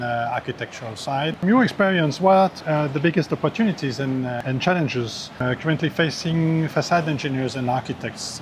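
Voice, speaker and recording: Casting: man of medium pitch, polite interviewer who hesitates, clearly audible ambient noise. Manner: halting, polite, many "uh" fillers. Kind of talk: conference interview. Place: outdoors in a Venice palace by the canal. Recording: clear background noise outdoors.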